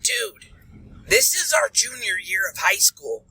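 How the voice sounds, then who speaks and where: A woman's voice: on the high side, wildly swooping pitch, measured pace, gravelly, sharp tone, husky, dry recording, heavily acted, rough recording. A woman voicing an exasperated, nagging teenage boy in a fan fiction story, speaking emphatically. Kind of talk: amateur fan fiction character reading.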